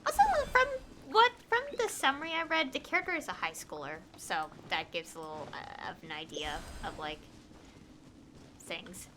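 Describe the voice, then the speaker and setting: A woman game streamer chatting casually on a livestream, rambling and telling stories in halting fragments. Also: on the low side, big pitch swings, noisy background.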